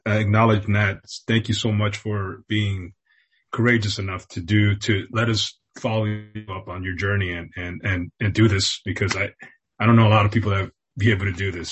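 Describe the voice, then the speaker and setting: Husky-voiced grateful man on a call. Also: fairly low pitch. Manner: effusive, grateful, halting. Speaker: workshop participant.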